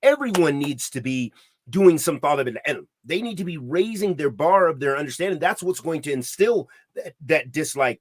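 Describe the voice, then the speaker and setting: A man with a fairly high voice speaks on a podcast in an emphatic, preaching manner. He restarts his sentences often and scatters Arabic religious terms through his talk. The sound is dry and close. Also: no echo. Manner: grows louder and higher when heated. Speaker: podcast co-host. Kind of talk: impassioned podcast discussion.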